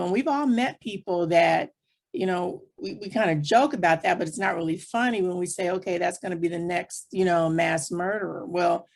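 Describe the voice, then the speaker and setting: Woman explaining points in a panel discussion. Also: fairly low pitch.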